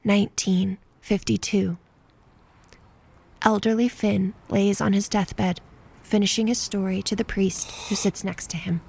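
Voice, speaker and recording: A woman's voice: mid-range voice, little pitch movement, unhurried, gravelly, darkish tone, slightly rough. A woman narrating a scripted horror story.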